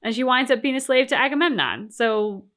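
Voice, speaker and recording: A woman's voice: sits high, expressive, fairly quick, fairly bright tone. A woman co-hosting a game reaction channel, talking through her opinions casually and emphatically. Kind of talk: casual discussion and commentary.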